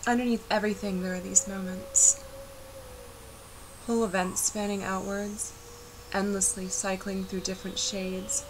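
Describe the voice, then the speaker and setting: A woman reciting a poetic spoken-word narration for a video art piece, measured and reflective. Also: medium pitch. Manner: measured, reflective, unhurried delivery. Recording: voice-over with clear background noise.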